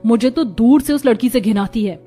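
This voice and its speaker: A woman's voice: fairly high pitch, brisk, warm tone, soft background music, lightly acted. A woman playing a spoiled, scornful rich college girl in a cartoon story, with a mocking, disdainful manner. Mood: indignant disdain. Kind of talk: Hindi cartoon story dialogue.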